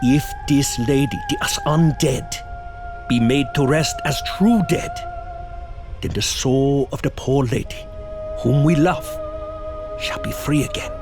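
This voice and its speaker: An actor playing a grave older professor who hunts vampires, speaking in solemn, deliberate oratory in a horror audio drama. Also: male voice, medium pitch, wildly swooping pitch, exaggerated voice. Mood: solemn, commanding. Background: music.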